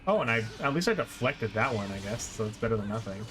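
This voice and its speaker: A man's voice: on the low side, animated, fast. A man streaming a game with casual, explanatory commentary and exasperated asides. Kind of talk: casual live gameplay commentary. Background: game music.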